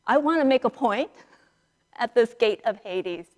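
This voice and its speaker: A woman priest preaches in a fairly high voice, taking her time between phrases. She tells the story conversationally and acts out the dialogue. Her words ring through a reverberant church. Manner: animated storyteller acting out dialogue, with dramatic pauses. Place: large church sanctuary, indoors, standing and moving before the altar. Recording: reverberant church hall, picked up in a large space.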